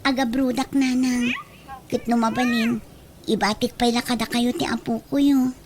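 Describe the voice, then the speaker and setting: A woman's voice: on the high side, steady tone, moderate pace, a little mellow, a little hiss or hum, lightly acted. An actress playing an earnest, determined young mother in a radio drama, pleading with a Filipino accent.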